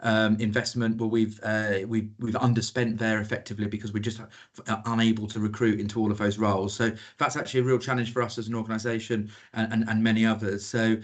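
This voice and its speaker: Man, lowish voice, monotone, halting, lots of ums, formal committee report. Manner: hesitant, many fillers and restarts. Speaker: council officer presenting budget.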